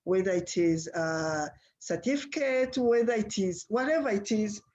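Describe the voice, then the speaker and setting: Woman panelist, middle pitch, plain listing delivery. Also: a little slow, darkish tone. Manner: plain, lists options with fillers. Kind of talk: advisory talk in an online panel.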